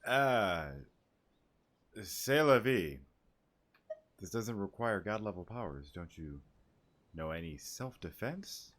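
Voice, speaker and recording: A man's voice: lowish voice, lively intonation, takes it slowly, pauses often, fairly bright tone. A man casually commenting while playing a game, joking, rambling and stumbling over names.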